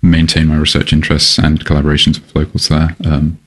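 A man from Malaysia, a seed bank scientist, answering interview questions in a thoughtful, halting way with many pauses and restarts. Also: extremely low pitch, monotone, fairly bright tone.

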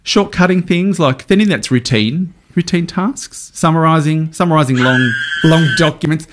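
A man's voice: on the high side, fairly bright tone, slight background noise. A man from rural New South Wales co-hosting a radio show, chatting casually and often stammering as he thinks. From northwest New South Wales, Australia. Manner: halting, stammers when thinking. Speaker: radio co-host. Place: radio studio.

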